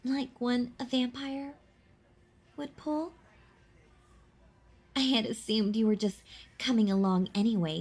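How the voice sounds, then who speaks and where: A woman playing a possessive, teasing vampire in a roleplay audio drama, with a mocking, condescending manner and a breathy, intimate delivery. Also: on the high side, a little mellow, exaggerated voice. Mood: sly, menacing. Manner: mocking, condescending, slow and deliberate. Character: possessive, teasing female vampire toying with her prey.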